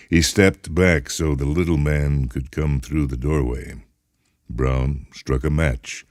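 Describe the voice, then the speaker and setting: A man's voice: very deep voice, a little mellow, no room sound, clean studio sound. A man reading a speculative short story as a dramatic, scripted narration. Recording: music behind the voice.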